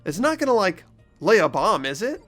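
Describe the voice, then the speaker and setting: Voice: medium pitch, big pitch swings, moderate pace, fairly bright tone, faint music behind. A performer voicing a wary, bewildered teenage schoolboy who questions things incredulously in a fan-voiced game. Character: bewildered, wary teenage schoolboy protagonist, sarcastic inner narrator.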